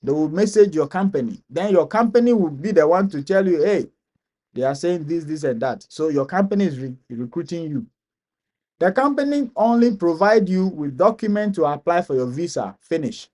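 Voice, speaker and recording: A man from Ghana hosting a live stream Q&A, explaining steps slowly and repeating key points. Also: a little high, lively intonation, dark tone. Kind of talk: live Q&A advice.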